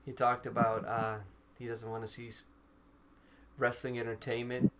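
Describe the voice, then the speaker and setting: A man, chatting loosely and restarting his sentences as he goes. He talks at a middling pitch through a headset on a video call. A steady hiss of noise sits under his voice. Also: moderate pace. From Serbia. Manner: talkative, stops and restarts often. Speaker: co-host. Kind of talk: casual chat. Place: small room indoors. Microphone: over-ear headset on a video call. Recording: noisy video call audio.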